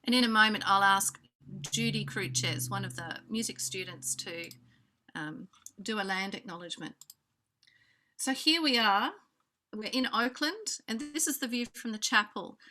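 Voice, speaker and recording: An Australian woman hosting an online conference over a video call, giving introductions with frequent pauses and fillers. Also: mid-range voice. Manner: warm hesitant introductions with many fillers. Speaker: conference host. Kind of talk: welcoming remarks on a video call.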